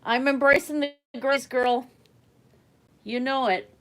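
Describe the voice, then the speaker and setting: A middle-aged woman whose first language was German, hosting a livestream and chatting casually with viewers. She rambles, laughs often and lets her sentences trail off softly. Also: high-pitched, fairly even intonation, slow. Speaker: livestream art host.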